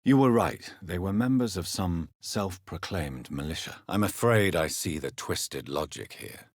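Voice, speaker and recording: A man playing an earnest, stern gentleman doctor of early twentieth-century England, secretly a vampire, in a video game, with formal, measured, intense diction. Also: deep voice, lively intonation, no room sound, clean studio sound, exaggerated voice. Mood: probing, grave. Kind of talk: dramatic video game voice acting.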